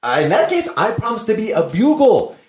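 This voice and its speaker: A man's voice: sits high, huge pitch swings, a little fast. A man voicing a chatty, cowardly clown servant in a play reading, protesting in bewildered, mock-grand phrases. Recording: roomy, echoey, faintly noisy room.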